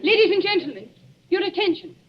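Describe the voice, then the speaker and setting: A woman's voice: very high voice, very animated, fairly quick. An actress playing a genteel lady making a formal, stately public address in a 1940s radio drama. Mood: grave. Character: genteel, formal lady presiding at village concert. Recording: dull vintage radio recording.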